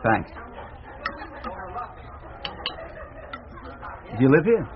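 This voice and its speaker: Guy acting as laid-back american hippie traveler, folksy and halting, swingy pitch, tinny noisy radio play sound. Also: big pitch swings, a crowd in the background, lightly acted. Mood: casual, curious. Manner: folksy, colloquial, hesitant. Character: easygoing drifting American hippie traveler, devoted to his partner. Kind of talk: naturalistic radio drama acting. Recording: tinny, band-limited, noisy radio recording.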